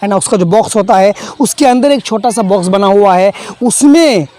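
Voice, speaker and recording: A man's voice: high-pitched, normal speed, dark tone. A young man teaching a textbook play, explaining each phrase, repeating himself and adding fillers.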